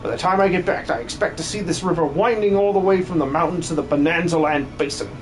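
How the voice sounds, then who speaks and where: A man's voice: a little high, quick pace, a little hiss or hum, close to an everyday voice. A man playing a jolly older explorer in a game dub, speaking in a grandiloquent, avuncular way. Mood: amused, brisk. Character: jolly, pompous older explorer advising youngsters. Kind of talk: hobby video game dub.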